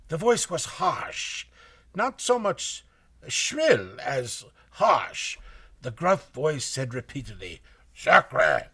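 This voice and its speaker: Excitable, halting foreign male witness. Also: a little high, creaky, husky, heavily acted. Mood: emphatic, unsure. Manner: halting, emphatic, theatrical. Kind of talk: live radio drama acting.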